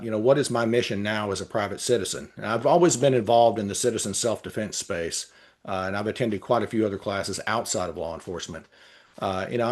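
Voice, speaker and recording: A man in his fifties with a Texan accent, a retired police lieutenant answering interview questions in a measured way, with frequent pauses and restarts. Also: on the low side. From Texas. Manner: measured, many "uh" fillers and restarts.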